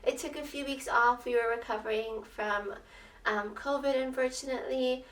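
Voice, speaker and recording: A young woman with a slightly raspy voice, telling a personal story in a casual, chatty, expressive way, as a vlogger would. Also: sits high, normal speed. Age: young adult. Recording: roomy echo, distant microphone.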